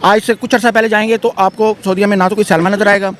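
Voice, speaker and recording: A man's voice: high voice, level tone, fast talker, fairly bright tone. A man giving emphatic, decisive opinions in a street interview. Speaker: member of the public being interviewed. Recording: outdoor street noise, passing vehicles.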